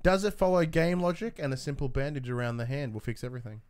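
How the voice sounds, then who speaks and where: A man's voice: mid-range voice, quick pace. A man co-hosting a podcast, chatting casually with wry, colloquial questions and interjections. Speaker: podcast co-host.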